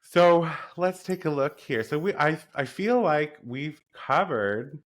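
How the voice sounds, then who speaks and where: A man's voice: a little high, expressive, slow. A man hosting an interview podcast, chatting casually and effusively, with lots of "um" and "like" as he gushes about his guest. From East Coast of North America. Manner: enthusiastic, effusive, frequent "um" and "like". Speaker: podcast host.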